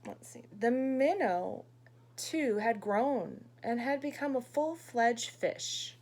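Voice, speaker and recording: A woman teacher reading a picture book aloud expressively, pausing to ask young listeners questions and explain things. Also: a little high, animated, darkish tone.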